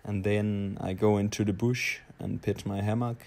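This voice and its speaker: Low, mellow Danish-accented man. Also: flat delivery, normal speed. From Denmark. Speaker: traveler, audio blogger. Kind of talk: personal audio blog monologue.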